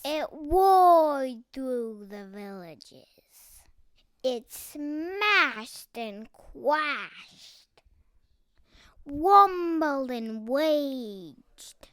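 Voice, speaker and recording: A child reading a children's picture book aloud with deliberate, dramatic emphasis. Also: high voice, fairly bright tone, no echo. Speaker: storybook reader.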